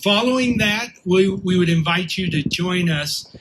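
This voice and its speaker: A man's voice: high voice, animated, unhurried, very noisy. A man organizing the event, giving casual, friendly instructions to volunteers, with many fillers.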